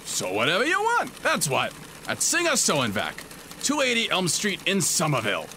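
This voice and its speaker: An actor playing a zany, over-eager local radio ad pitchman in a comic audio drama, with a punchy, exaggerated sales delivery. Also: huge pitch swings, moderate pace, pushed and bright, slight background noise, full character voice. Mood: brash, eager.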